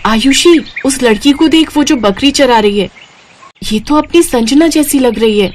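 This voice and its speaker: A woman's voice: high-pitched, a little slow, pitched above the performer's own voice. A woman voicing an affectionate, eager teenage schoolgirl in a moral story, with an emotional, pleading manner. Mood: excited, emotional. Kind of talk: animated moral story character acting.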